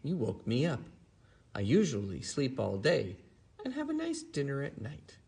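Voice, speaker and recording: A man's voice: medium pitch, wildly swooping pitch, moderate pace, gravelly, darkish tone, husky, voice pushed up, heavily acted. A man voicing a sleepy, contented koala in a bedtime storybook, drowsy and savoring the words. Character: sleepy, contented koala who lives on leaves. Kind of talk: bedtime storybook read-aloud.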